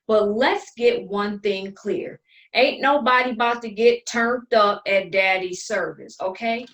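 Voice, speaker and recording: An actress playing a haughty, condescending sister in a play reading, scolding with sarcastic, sugary endearments and careful enunciation. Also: female voice, medium pitch, fairly bright tone, distant mic, close to an everyday voice, rough recording. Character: haughty, sharp-tongued older twin sister, polished and condescending.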